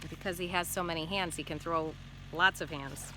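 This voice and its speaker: A woman's voice: on the low side, fairly even intonation, moderate pace, noisy background. A woman teacher reading a picture book aloud to children expressively, with instructive asides and questions to check in.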